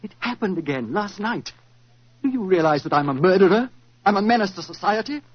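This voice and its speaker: An actor in a 1940s radio drama playing an anxious, self-doubting stage actor, earnest and theatrical in manner. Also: male voice, sits high, animated, fairly quick, dry recording. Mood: distraught. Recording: dull, muffled 1940s radio recording.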